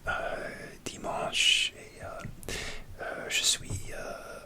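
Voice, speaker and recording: A man whispering a casual ASMR chat, halting and hesitant, often pausing to search for words. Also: sharp tone. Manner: halting, hesitant, many fillers and restarts; slips in grammar, searches for words. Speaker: ASMR creator.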